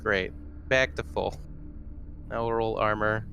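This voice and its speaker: A man's voice: on the low side, fairly even intonation, slow pace, creaky, pushed and bright, a little hiss or hum. A man taking part in a tabletop roleplaying game, talking casually as a player. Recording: faint music behind the voice. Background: faint music.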